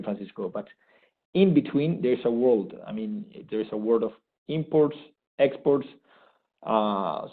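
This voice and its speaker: A man, giving an eager, halting testimonial with many restarts. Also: mid-range voice. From Argentina. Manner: enthusiastic, halting with frequent restarts. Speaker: graduate program participant. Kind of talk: unscripted testimonial. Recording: thin phone-like sound.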